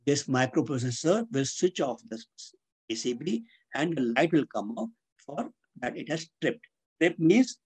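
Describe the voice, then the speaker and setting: An older man giving a webinar lecture as an instructor, speaking in a deliberate, didactic way and repeating key terms. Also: mid-range voice, a little mellow, slightly rough. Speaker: engineering instructor. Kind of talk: webinar lecture explaining slides. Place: indoors, on a webcam video call.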